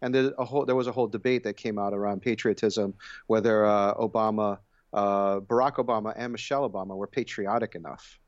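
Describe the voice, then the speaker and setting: A man commenting as a radio guest, speaking reflectively and haltingly. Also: lowish voice, fairly even intonation, dry recording, highs cut off. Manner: halting, many fillers and restarts, reflective. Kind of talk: radio panel discussion.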